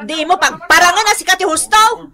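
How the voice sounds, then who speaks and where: A woman's voice: extremely high pitch, brisk, pushed and bright. A woman with an Ilocano accent playing a haughty, cruel-tongued neighbor who berates and insults, in a radio drama. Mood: scornful, angry.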